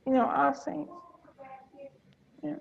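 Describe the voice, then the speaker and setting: A woman's voice: sits high, fairly even intonation, slow, vocal fry. A woman chatting casually as a participant on a video call, with lo-fi sound. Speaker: table read participant. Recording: dull lo-fi online call audio.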